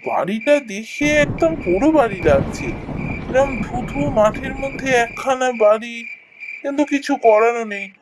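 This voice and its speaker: A man's voice: very high-pitched, animated, normal speed, background noise. A man voicing a good-natured, worried young village cowherd in a cartoon, musing expressively with a Bengali accent. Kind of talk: cartoon voice acting, thinking aloud.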